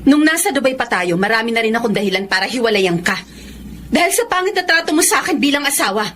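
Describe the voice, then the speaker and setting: Casting: wronged Filipina wife, resolute, highish voice, emphatic melodrama delivery, slight background noise. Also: female voice, expressive, fast talker, fairly bright tone. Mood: defiant, hurt. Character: wronged wife Samantha, resolute, standing up to abusive husband. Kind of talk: Filipino radio-style melodrama acting.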